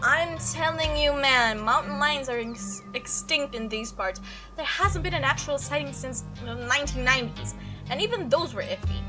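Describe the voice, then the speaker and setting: A woman's voice: high voice, animated, normal speed, music in the background. A woman voicing an eager, teasing young female amateur monster hunter in a visual novel, with a casual, coaxing manner. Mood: skeptical.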